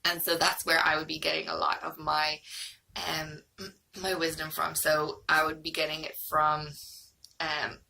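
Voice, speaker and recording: A woman's voice: sits low, monotone, a little slow, creaky, pushed and bright, rough recording. An Irish woman in her thirties giving a casual, hesitant, rambling review, like a homeschooling mom vlogging.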